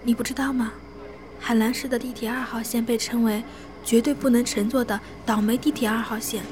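A woman acts a young passenger in a horror radio drama. Her high voice is wary and gossipy, set against clear ambient noise. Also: steady tone, brisk, natural voice.

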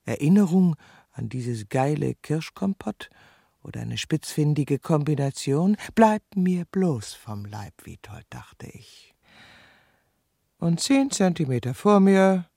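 A woman's voice: deep voice, big pitch swings, takes it slowly, vocal fry, dark tone, slightly rough, heavily acted. An actress in a radio drama playing a lovesick, scheming insurance clerk in her fifties, intense and bitter, moving between pleading and insistence. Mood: bitter, confiding. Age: 50s. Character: lovesick, scheming, defensive insurance clerk woman, fifty-two. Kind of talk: radio drama acting, dialogue and confessional monologue.

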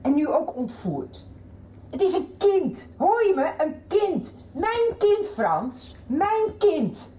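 A woman's voice: very high voice, very animated, takes it slowly, warm tone, reverberant, muffled recording, heavily acted. A woman playing an outraged, unyielding middle-aged mother in a radio soap, emphatic and insistent. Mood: indignant, accusing. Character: outraged, unyielding mother confronting her daughter's abuser.

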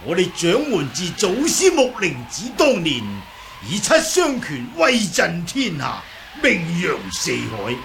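Casting: hot-tempered older Cantonese martial master, high swooping delivery, slow and blustering, radio drama. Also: male voice, gravelly, fairly bright tone, full character voice. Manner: blustering, indignant.

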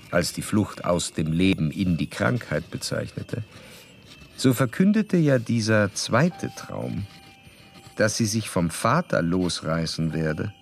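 A man acting in a radio drama as a stern, self-assured psychoanalyst who lectures in formal, old-fashioned, measured diction. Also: on the low side, creaky, full character voice. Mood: detached, narrating.

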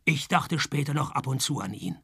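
A man playing a laconic, deadpan private detective who also narrates a radio play, in a husky voice. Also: mid-range voice, normal speed, dry recording, clean studio sound, exaggerated voice. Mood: laconic narration. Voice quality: husky, gravelly. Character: male private detective, dry, unimpressed, probing, also the narrator. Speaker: lead actor and narrator. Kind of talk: scripted radio detective comedy.

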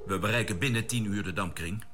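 An actor playing a decisive, responsible spaceship captain in a Dutch science-fiction radio drama, giving terse commands. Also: male voice, on the low side, fast talker, lightly acted. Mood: calm, inquiring. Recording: noisy old radio drama recording.

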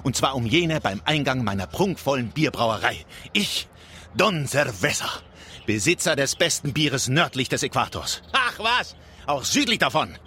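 An actor playing a pompous, boastful brewery owner and vengeful betrayed husband in a theatrical radio play. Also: male voice, mid-range voice, very animated, normal speed, bright, forceful tone, slight background noise. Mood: gloating, scheming. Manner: theatrical, self-important, gloating. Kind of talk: theatrical radio drama monologue.

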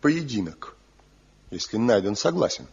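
Husky, embittered, defiant craftsman. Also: lowish voice, sing-song, a little slow, darkish tone, heavily acted. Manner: challenging, theatrical delivery. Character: Zhivko, defiant embittered returned craftsman, taunting rival. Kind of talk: Soviet radio play acting. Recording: dull, muffled 1970 radio play recording.

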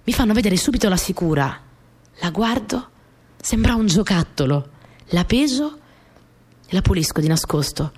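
An actress playing a small, earnest, easily flustered young wartime partisan courier, telling her story in an intimate, expressive radio drama monologue. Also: medium pitch, normal speed, long pauses, slightly rough. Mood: shy, wry.

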